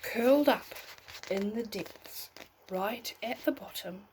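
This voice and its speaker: Slow-reading woman telling a bedtime story, noisy recording. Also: on the high side. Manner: expressive, addresses young listeners. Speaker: storybook reader. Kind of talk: bedtime story read aloud with asides.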